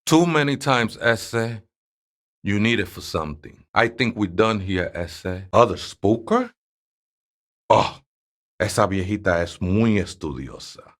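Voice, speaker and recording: A streetwise convert with a low-pitched voice and a Chicano accent, in a video game. He is a devout former drinker who mixes barrio slang with fervent preaching, urging others toward salvation. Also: expressive, unhurried. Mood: laid-back, coaxing. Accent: Chicano Spanish-English. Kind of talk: character dialogue for a role-playing game.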